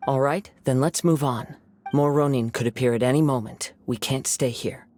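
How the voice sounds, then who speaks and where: Guy doing a chill soft-spoken young samurai, mid voice, light music behind. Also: medium pitch, moderate pace, close to an everyday voice. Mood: calm. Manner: calm, soft-spoken, reflective. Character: calm young wandering samurai, gentle and thoughtful. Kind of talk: video game voice acting.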